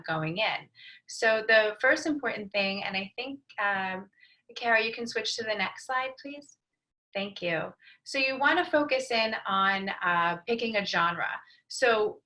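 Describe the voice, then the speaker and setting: A woman with a very bright, crisp-edged voice presents as an expert panelist on a video call. She explains in a measured, advisory way at a middling pitch. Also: rough recording. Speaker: brand strategist, panelist.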